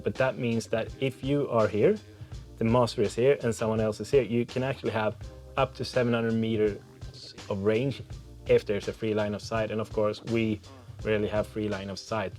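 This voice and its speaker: A man's voice: mid-range voice, darkish tone. A man hosting a tech channel, talking through a product review to camera in a casual, conversational way.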